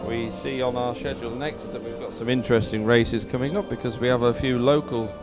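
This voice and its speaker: A man's voice: mid-range voice, steady tone, fairly quick, over music. A man giving live, unscripted sports commentary in a chatty, hesitant, explanatory way.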